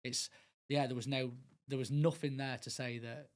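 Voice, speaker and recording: A man's voice: mid-range voice, fairly even intonation, normal speed. A middle-aged man from England, speaking earnestly as a podcast guest, rambling with many restarts and fillers. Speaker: guest, mental health speaker. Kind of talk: casual podcast interview.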